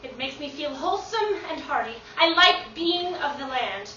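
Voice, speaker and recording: Very high-voiced, earnest woman playing a water seller. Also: animated, normal speed, bright, forceful tone, a little hiss or hum. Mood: earnest, proud. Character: wholesome, proud female water seller in dystopian musical. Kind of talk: musical theatre comedy acting. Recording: roomy, distant, muffled live stage recording. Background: music.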